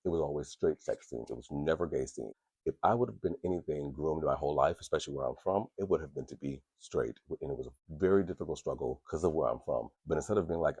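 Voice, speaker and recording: A man with a deep voice, hosting a podcast in candid, profane, rambling casual talk with many restarts. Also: darkish tone, husky, dry recording. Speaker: podcast host.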